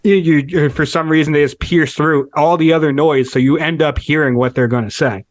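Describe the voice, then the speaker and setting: A man's voice: fairly high pitch, fairly even intonation, normal speed, no echo. Curious male podcast host, dry mic. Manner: curious, asks long rambling questions. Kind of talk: casual podcast interview.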